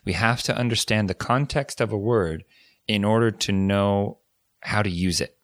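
A man with a very low voice talks through ideas in a relaxed, thoughtful way, often circling back to rephrase himself. The sound is close and dry, with no room around it. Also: moderate pace, no echo. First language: English. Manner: thoughtful, explanatory, with frequent restarts. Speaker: podcast co-host. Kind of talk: casual educational chat.

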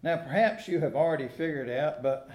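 An American man preaching a sermon in a folksy, conversational way, pausing deliberately between phrases. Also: a little high, darkish tone. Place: church sanctuary during a service. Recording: echoey room sound.

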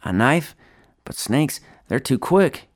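Man voicing a timid boy, lifted pitch. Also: big pitch swings, slow, no room sound, clean studio sound. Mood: nervous. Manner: nervous, hesitant, stammering at times. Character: timid, worried boy, anxious and unsure of himself.

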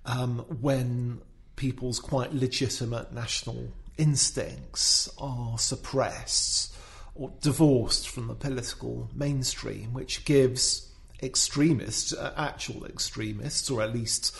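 A man's voice: medium pitch, steady tone, slow, creaky. Thoughtful man, full of fillers. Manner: thoughtful, hesitant, many fillers and restarts. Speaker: podcast guest, writer. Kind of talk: casual discussion.

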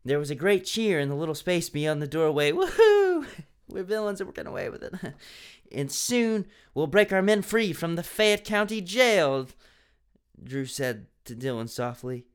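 A young man reads a cheesy children's mystery aloud with mock drama, throwing in sarcastic asides. His voice sits a bit high for a man and comes through smooth and clear. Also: very animated, normal speed. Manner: sarcastic, laughing at the text. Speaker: reader of a children's mystery book.

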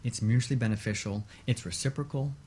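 A man speaking as an expert guest in a radio interview, explaining in a measured way with short repeated lists. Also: mid-range voice, mellow, a little hiss or hum.

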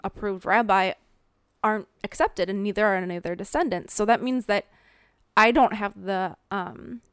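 A woman with a Minnesota accent answers questions about her writing in an even, mid-range voice. Her tone is smooth and clear, and she pauses often with ums as she thinks. The recording is dry, with no room echo. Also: medium pitch. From American Midwest. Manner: thoughtful, with frequent um fillers and restarts. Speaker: author being interviewed. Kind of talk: reflective interview answers.

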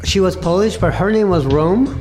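A man's voice: high-pitched, a little mellow, soft background music. Thoughtful Argentine-born man in his fifties. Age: 50s. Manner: thoughtful, many pauses and "uh" fillers. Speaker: interview guest, cafe owner. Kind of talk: reflective personal storytelling.

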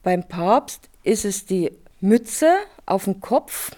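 A woman talks about her work as an interpreter in a relaxed, conversational way. Her voice is mellow and warm at a middle pitch, with occasional hesitations. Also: slow pace. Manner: conversational, explaining with fillers. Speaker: sign language interpreter. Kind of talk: radio interview soundbite.

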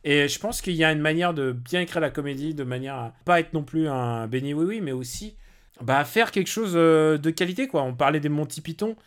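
A man's voice: fairly high pitch, brisk. A man speaking as a podcast guest and comedy film critic, giving opinionated views in long, rambling stretches of casual talk.

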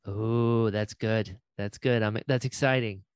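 A man's voice: fairly low pitch, fairly even intonation, unhurried, clear, resonant tone, no room sound, studio quality. A man hosting a casual interview podcast, speaking enthusiastically and readily affirming his guest. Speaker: podcast host.

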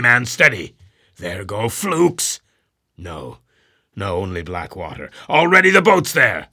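A man voicing an obsessed, commanding old sea captain who shouts orders across the deck. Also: fairly low pitch, slightly rough, full character voice.

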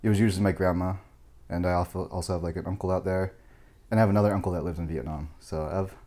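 A man's voice: deep voice, fairly even intonation, very fast, dark tone. A young American man chatting as a podcast guest, rambling and full of filler words, with a chuckle here and there. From United States. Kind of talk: casual chat.

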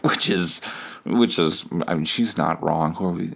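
A man with a fairly low voice hosts a casual comedy podcast. He tells his story in a self-deprecating, sweary way, acting out both sides of text conversations as he goes. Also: unhurried, vocal fry. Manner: foul-mouthed, self-deprecating. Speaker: podcast host.

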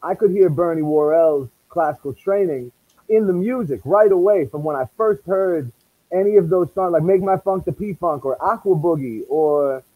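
A man's voice: on the high side, moderate pace, no room sound, phone-quality sound. A man with a Boston accent, a musician answering interview questions in a conversational way. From Massachusetts, USA. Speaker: musician guest.

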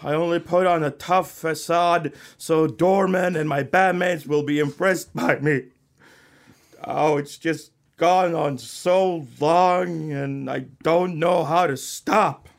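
A man's voice: on the high side, fairly even intonation, slow, vocal fry. A man from Norway playing a sensitive young rock band member in an amateur radio play, earnest and confessional. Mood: vulnerable, confessing.

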